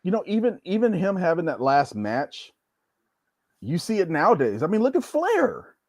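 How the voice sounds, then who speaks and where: A man's voice: high voice, wildly swooping pitch, normal speed, creaky, a crowd in the background. A man telling anecdotes in a casual, conversational way as a guest on a show. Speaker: guest, former wrestler.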